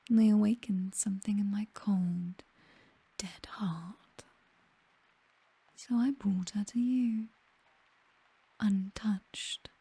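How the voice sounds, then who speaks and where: A woman with a British accent playing a possessive, teasing vampire mistress in an intimate ASMR roleplay, slow and breathy, mostly whispered, softly commanding and seductive, close on the microphone. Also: medium pitch, dry recording, exaggerated voice. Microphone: close microphone, dry.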